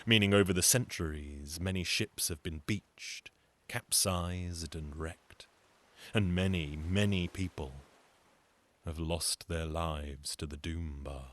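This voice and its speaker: Low-pitched man telling a dramatic folktale. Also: steady tone, takes it slowly, fairly bright tone. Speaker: storyteller. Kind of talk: dramatic theatrical folktale storytelling.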